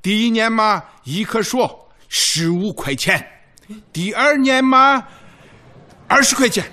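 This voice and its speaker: A man's voice: high voice, animated, takes it slowly, pushed and bright, heavily acted. A man acting an eager, shrewd village party secretary in a radio drama, folksy and emphatic. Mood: rallying, insistent. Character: eager, shrewd, big-hearted male village party secretary rallying farmers.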